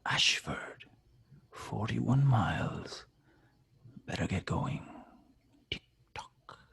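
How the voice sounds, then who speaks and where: A man playing a cold, taunting kidnapper in a screenplay read, speaking in a slow, gravelly half-whisper. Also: fairly low pitch, animated, fairly bright tone, no echo, exaggerated voice. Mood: quietly menacing. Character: cold, menacing male kidnapper taunting by phone.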